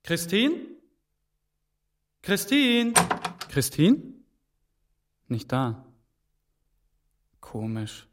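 A man's voice: fairly high pitch, wildly swooping pitch, extremely slow, long pauses, background noise, exaggerated voice. An actor playing a hunted man who flees pursuers at night. He speaks in tense, breathless whispers in a German radio drama.

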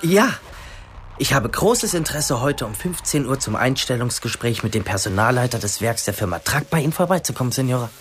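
Actor as eager, awkward teenage boy, lively pitch. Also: a little fast, lightly acted. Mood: eager, polite. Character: eager, cheeky, awkward seventeen-year-old Italian boy. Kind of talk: radio drama acting.

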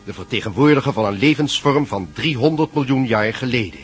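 A man's voice: mid-range voice, animated, brisk. Male radio narrator over prominent music. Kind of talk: scripted radio drama recap narration. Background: loud music.

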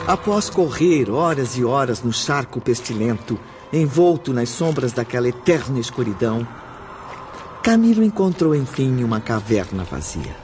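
A man narrating a scripted drama as storyteller, with emphatic, theatrical pauses. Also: mid-range voice, sing-song, music in the background.

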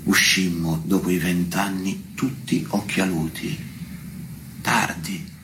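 A man with a very low voice reads poetry gravely and evenly, barely varying his pitch. He takes his time, pausing between phrases, over clearly audible background noise. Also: bright, forceful tone. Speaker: poetry reader at a tribute recital. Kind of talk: slow poetry recitation.

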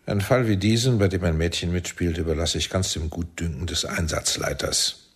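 An actor playing a commanding, sardonic older intelligence chief in a radio drama, probing and deliberate. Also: male voice, sits low, steady tone, slightly rough. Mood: paternal, sly. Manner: deliberate, probing, swinging from soft to barking. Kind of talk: German radio drama acting.

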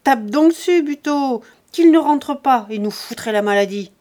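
A raging peasant woman, as a woman reader puts her on, shrieking insults high and loud. Her words tumble out in fast, shrill bursts. It sounds like a spoken storybook. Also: animated. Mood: screaming insults. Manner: shouting abuse, fast and shrill.

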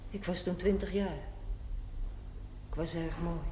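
Woman playing a dark-voiced, blunt middle-aged woman. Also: on the low side, fairly even intonation, close to an everyday voice. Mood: frank, reminiscing. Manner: blunt, matter-of-fact, deliberate. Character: frank, proud 45-year-old former prostitute telling her life. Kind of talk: theatrical monologue in television drama. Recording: echoey, faintly noisy 1970s TV recording.

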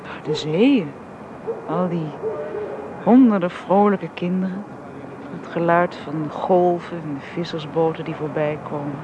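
A young woman playing a gentle, deferential young woman who is fond of a child, in a naturalistic radio drama. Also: lowish voice, measured pace, close to an everyday voice. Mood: dreamy, happy. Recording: muffled, noisy old radio recording.

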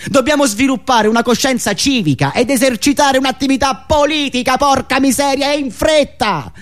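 A man delivering a satirical political monologue in an indignant, sarcastic, declamatory manner with dramatic pauses and repetitions. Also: extremely high pitch. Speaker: satirical monologist and radio show host.

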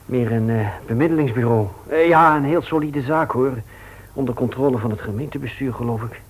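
Nerveuze vrijgezel met hese stem door hoorspelacteur. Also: male voice, medium pitch, a little fast, breathy edge, close to an everyday voice. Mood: nervous, confessional. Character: nervous, hurried bachelor friend, guilt-ridden and earnest. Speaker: radio play actor. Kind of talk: naturalistic Dutch radio drama acting. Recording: radio play recording.

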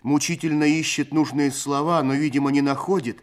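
A man narrating a literary story for a radio play in a measured, expressive storytelling manner. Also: fairly high pitch.

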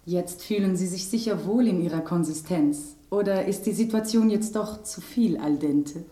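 An actress playing a genteel, sentimental rose with prim, flowery diction in a German radio drama. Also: female voice, lowish voice, normal speed, warm tone, echoey room, close to an everyday voice.

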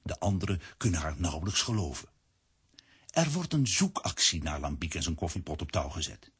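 A man with a low, very husky voice narrates a children's audio drama. His scripted storytelling is lively, with wide swings in pitch. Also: brisk.

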